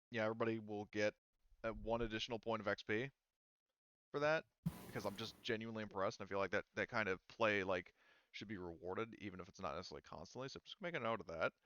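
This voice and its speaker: Bright, clear low-voiced man running a game. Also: dry recording, muffled recording. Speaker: game master. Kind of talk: casual tabletop game talk.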